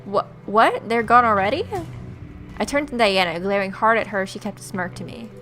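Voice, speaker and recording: A woman's voice: mid-range voice, moderate pace, sharp tone, rough recording. A young adult woman hosting a let's play, reading visual novel text aloud expressively with casual, sarcastic reactions. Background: game music.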